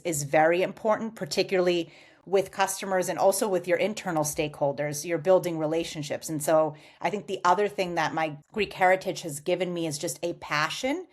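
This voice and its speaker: An American woman in her forties, giving measured, explanatory answers as a guest on a podcast interview. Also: on the low side. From United States. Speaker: guest, customer success executive.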